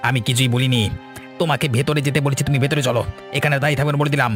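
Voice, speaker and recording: A man as a fast, agitated, bossy son. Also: mid-range voice, little pitch movement, over music, lightly acted. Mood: stern, urgent. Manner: urgent, curt, commanding. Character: agitated bossy grown son, fearful and stern. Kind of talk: cartoon voice acting.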